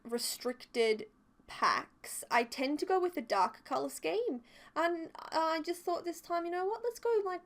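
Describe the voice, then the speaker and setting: Young female vlogger, slightly higher voice with a touch of sore-throat croak, loose chatty narration full of asides. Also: a bit bright. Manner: chatty and rambling, many fillers and side notes. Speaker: video creator narrating a build. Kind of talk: casual voice-over commentary.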